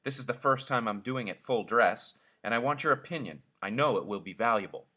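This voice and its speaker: A man's voice: mid-range voice, a little fast, sharp tone. A man voicing an eager, vain, ingratiating headmaster in an effusive, pressing way for a storybook reading.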